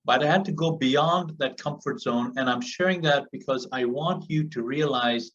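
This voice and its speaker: Earnest man, bright voice, muffled call. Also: medium pitch, normal speed. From Africa. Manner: earnest, emphatic repetition. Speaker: speaker on a group call. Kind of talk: motivational speech. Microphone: call audio. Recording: muffled, distant call audio.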